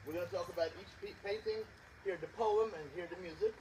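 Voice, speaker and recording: A man's voice: high-pitched, fast, darkish tone. A man hosting a small outdoor concert, talking in a rambling, self-deprecating way with many fillers. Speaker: concert co-director and host. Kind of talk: casual spoken introduction at a live concert. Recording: distant outdoor recording with background noise.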